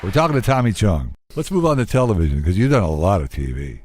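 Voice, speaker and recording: A man's voice: deep voice, big pitch swings, quick pace, noisy background. A man hosting a casual celebrity interview as a radio host.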